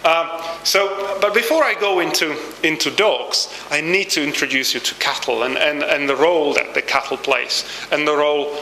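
A man in his thirties with a Czech accent gives an informal talk as a university lecturer, halting with many fillers and restarts, on a handheld mic in a small hall. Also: fairly high pitch, pushed and bright, slight background noise. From Czech Republic. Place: small brick-walled hall with projector screen. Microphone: handheld microphone. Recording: roomy hall echo.

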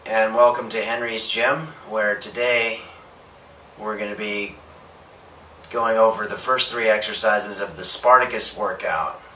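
A young man delivers workout instructions in a bright, clear voice with a straight, earnest face, stumbling and restarting mid-sentence. He is recorded from across a small bedroom on the camera mic, with a roomy echo. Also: lowish voice, fairly even intonation, unhurried, slight background noise. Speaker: host of a home workout parody. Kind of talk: deadpan fitness instruction. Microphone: camera mic from across the room. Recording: echoey room, voice a bit far from mic.

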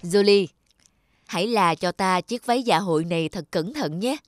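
A woman's voice: mid-range voice, natural voice. A woman playing a haughty, exacting wealthy mistress who gives stern orders in a children's cartoon. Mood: commanding. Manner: stern, commanding, brisk. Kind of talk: dubbed children's cartoon dialogue.

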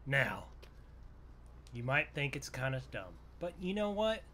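A man's voice: medium pitch, very animated, unhurried, sharp tone. A man improvising farmer roleplay for a gaming video, rambling in a folksy way as he thinks aloud. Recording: noisy, vehicle engine sounds behind voice. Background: game engine and vehicle sounds.

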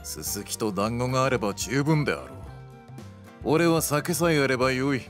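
A man playing a laid-back, gruff warrior with old-fashioned, lordly speech in a radio drama. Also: mid-range voice, lively intonation, moderate pace, close to an everyday voice. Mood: relaxed, gruff. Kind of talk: anime-style radio drama acting. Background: music.

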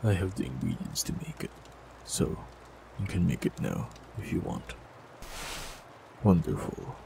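Man, very low voice, gravelly, calm and gentle, fantasy audio roleplay, faint hiss. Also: measured pace. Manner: calm, gentle, softly teasing. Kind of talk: scripted fantasy roleplay, warlock character.

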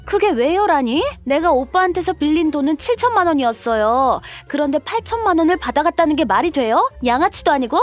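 A woman's voice: high-pitched, animated, brisk, hardly any pauses, soft background music. A woman playing a brazen, money-grubbing younger sister-in-law, whiny and defiant, in a scripted audio drama. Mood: confrontational.

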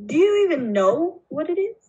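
A woman's voice: sits high, sing-song, moderate pace, a little mellow, dull audio. A woman voicing an eccentric, brusque male candy maker in an amateur puppet show, theatrical and dismissive. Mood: brisk.

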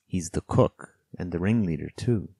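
Man doing a polite earnest boy from an adventure book, plain talk, deep voice, close dry sound. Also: animated, normal speed, creaky, mellow, breathy edge, dry recording, heavily acted. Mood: frank, firm. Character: earnest, quick-witted boy narrator, speaking up politely.

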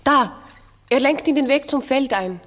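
An actress playing a curious, excitable farm maid in a 1960s radio play. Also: female voice, sits high, unhurried. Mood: excited curiosity. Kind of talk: 1960s German-language radio play acting. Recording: old radio recording, faint noise, tableware clinking.

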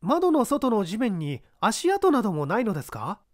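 A man reading a mystery aloud, voicing an earnest young detective who asks polite, crisp questions. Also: high-pitched, huge pitch swings, moderate pace, no room sound, studio quality, pitched above the performer's own voice.

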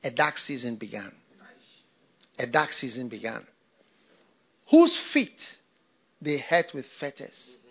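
A middle-aged man from Ghana preaching a sermon into a handheld mic on a church stage, swinging between loud bursts and hushed asides. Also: mid-range voice, very animated, slow, long pauses, breathy edge. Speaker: pastor.

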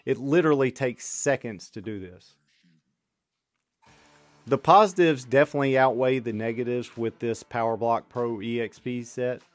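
A man in his thirties hosting a home fitness channel, reading a product review in a steady, matter-of-fact way. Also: medium pitch, no echo. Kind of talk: scripted product review voice-over.

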